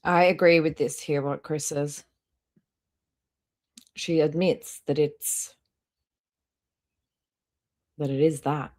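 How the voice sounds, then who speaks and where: A woman's voice: low-pitched, steady tone, slow, pauses often, darkish tone. A woman hosting a casual reaction livestream, commenting bluntly and critically.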